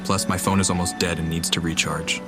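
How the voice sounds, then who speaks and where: A man's voice: low-pitched, little pitch movement, brisk, music in the background. A man giving casual commentary as he plays through a video game. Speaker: gamer commenting on a playthrough.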